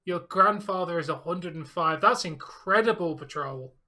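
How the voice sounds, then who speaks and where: A young man in his late twenties with an English accent, joking and teasing his co-host. His voice sits high and sounds very bright, with plenty of banter. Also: normal speed. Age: 20s. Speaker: co-host of a live game reaction stream. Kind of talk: casual banter.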